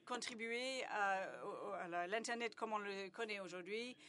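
A woman's voice: medium pitch, expressive, measured pace, fairly bright tone. A woman giving live simultaneous interpretation at a conference, with many hesitations, fillers and restarts.

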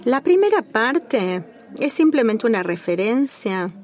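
A woman giving a simultaneous interpretation of a formal working-group call, speaking in a measured, formal way with small restarts. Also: a little high, big pitch swings, darkish tone.

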